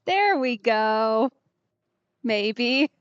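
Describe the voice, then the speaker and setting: Slow, laid-back woman streaming a game. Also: on the high side, animated, smooth, no room sound, highs cut off. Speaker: let's play streamer. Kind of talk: casual gameplay commentary, reading player dialogue.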